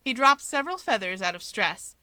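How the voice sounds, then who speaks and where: Bright-voiced woman reading a story. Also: fairly high pitch, sing-song, fairly quick, no echo. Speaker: story reader. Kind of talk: scripted fiction narration.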